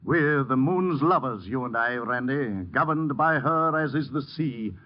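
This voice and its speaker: A man's voice: medium pitch, measured pace, natural voice. An actor playing a bitter, down-and-out theatrical man in an old radio drama, mournful and florid in his delivery. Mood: bitterly poetic. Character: broken, bitter, grandiloquent theatrical man fallen on hard times. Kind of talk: 1940s-50s radio drama acting. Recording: dull, faintly noisy old radio recording.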